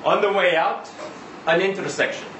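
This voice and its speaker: A man reading a short story aloud as a chatty, insistent Israeli taxi driver, halting and conversational, full of rhetorical questions and tags. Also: sits high, a bit bright. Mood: tense recounting. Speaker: reader at a live literary event. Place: indoor live reading event. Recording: roomy live venue, distant mic, faint noise.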